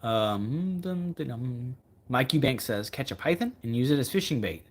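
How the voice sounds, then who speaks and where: A man hosting a casual livestream as a fishing video creator, answering viewer comments in a rambling, think-out-loud way and repeating himself for emphasis. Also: mid-range voice, lively intonation, normal speed.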